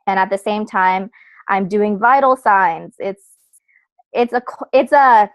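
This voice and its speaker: A woman's voice: medium pitch, takes it slowly, no room sound. A young woman speaking as a guest, telling about volunteer work in a casual, chatty way full of "like" and "so". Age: young adult. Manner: chatty, uses many fillers like "like" and "so". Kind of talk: casual personal talk.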